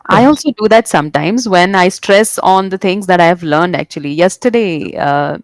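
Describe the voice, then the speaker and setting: A woman hosts a casual interview in a fairly low voice. The sound is dry and close, with no room around it. She listens attentively, puts questions to her guest, and keeps up a steady stream of "mm-hmm"s. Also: normal speed, dry recording. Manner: attentive, asks questions, frequent "mm-hmm" backchannels. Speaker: interviewer, host of the show.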